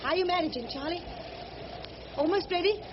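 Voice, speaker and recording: A woman's voice: very high-pitched, fairly quick. An actress in a radio drama playing a prim, resolute and devoted woman, speaking in an earnest, genteel way. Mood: anxious, determined. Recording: muffled noisy radio drama recording.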